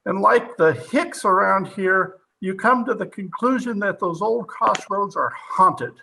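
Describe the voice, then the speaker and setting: An actor playing a hard-nosed, sarcastic police captain in an old-time radio drama, interrogating forcefully and full of disbelief. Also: male voice, sits high, measured pace, vocal fry, slight background noise, full character voice, low-quality recording.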